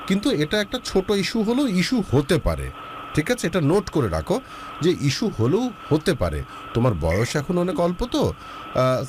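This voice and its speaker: A man's voice: mid-range voice, slight background noise. A man hosting a relationship advice show, talking with a young caller and mixing in English words. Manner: lively, advising, mixes in English words.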